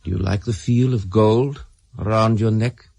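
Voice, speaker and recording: An actor playing a suave, menacing Soviet agent and possessive lover in a radio drama, with a husky, silky, controlling delivery. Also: male voice, fairly low pitch, fairly even intonation, a little slow, darkish tone, no room sound. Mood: silky, teasing.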